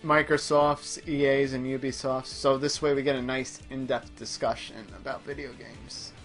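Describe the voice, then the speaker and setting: A man's voice: medium pitch, level tone, normal speed, fairly bright tone, faint music behind. A man hosting a gaming show, playing and chatting casually, hesitant as he thinks aloud. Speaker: host playing a video game. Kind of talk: casual gaming commentary chat.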